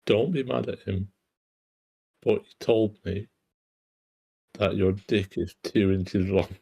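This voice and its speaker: A man playing a direct, teasing teenage girl in a screenplay table read, with a husky voice. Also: on the low side, slow pace, warm tone, full character voice. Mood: teasing, probing.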